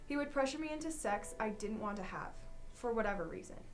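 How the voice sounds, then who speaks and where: A woman's voice: on the high side, animated, fairly quick, faint music behind. A young adult woman, a student, reading a personal account aloud. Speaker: student reader.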